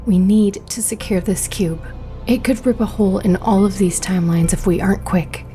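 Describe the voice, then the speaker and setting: A mellow, breathy woman acting as a weary female agent, wounded yet caring, in a sci-fi horror audio drama. Her delivery is earnest and often half-whispered, over a light bed of music. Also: mid-range voice, normal speed, close to an everyday voice. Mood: urgent, wary. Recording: music under the voice.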